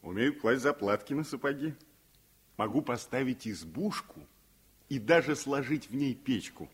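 Student tutor, radio drama: husky mid-pitched voice, swooping lively intonation, courteous. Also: male voice, moderate pace. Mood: reflective, friendly. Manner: courteous, animated. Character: friendly student tutor, playful with children. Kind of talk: 1950s Soviet radio play acting.

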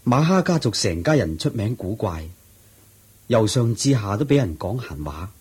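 A man's voice: on the low side, very animated, normal speed, darkish tone, husky, exaggerated voice. An actor playing a devoted male disciple in a radio drama, speaking earnestly and respectfully. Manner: earnest, respectful, explaining at length. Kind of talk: 1980s-style radio drama acting.

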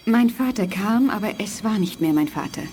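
A woman playing a grave, gentle and secretive eleven-year-old girl telepath in a radio play, speaking formally and earnestly. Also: medium pitch, expressive, a little hiss or hum, natural voice. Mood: haunted. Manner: formal, measured, earnest phrasing. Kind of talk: 1980s German radio play acting. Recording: studio radio play with prominent music. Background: music.

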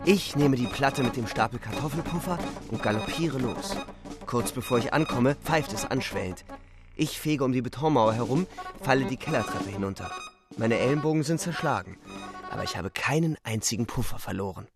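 A man's voice: medium pitch, animated, fairly quick, natural voice. A man playing a young German soldier who tells of the front in terse, literary radio play narration, with music behind him. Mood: brisk, vivid. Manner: terse present-tense storytelling.

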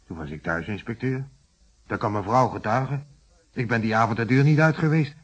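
A cornered suspect in a radio drama, played by a man, explains himself apologetically in a mid-pitched, faintly husky voice. He is defensive and self-justifying. The old recording is muffled. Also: a little fast, close to an everyday voice. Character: cornered, defensive male blackmail victim under questioning. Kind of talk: radio play acting.

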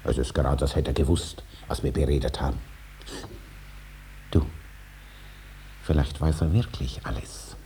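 An actor playing a cunning, eager farmer with a plain-spoken, evasive manner in a 1950s German radio play. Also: male voice, very deep voice, fairly even intonation, a little slow, pauses often, warm tone. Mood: uneasy, secretive. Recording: faintly hissy 1950s radio recording.

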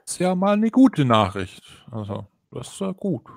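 A man's voice: mid-range voice, very animated, moderate pace, vocal fry. Wry man tossing in asides. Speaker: podcast co-host. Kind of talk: casual chat.

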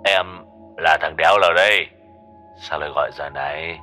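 A man voicing a coarse, joking middle-aged man with a gruff manner in a spoken story. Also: very deep voice, moderate pace, sharp tone, faint music behind, voice pushed down. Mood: brusque.

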